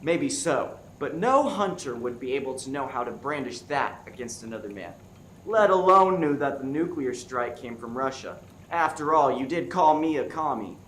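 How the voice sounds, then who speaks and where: A man's voice: mid-range voice, animated, a bit bright, lightly acted. A man playing an earnest, dramatic FBI agent solving a murder in a comic radio play, recorded in an echoey room with background noise. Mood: pressing. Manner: deliberate, dramatic deducing. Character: one-eyed male FBI agent turned earnest, dramatic sleuth. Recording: roomy, echoey stage with clear background noise.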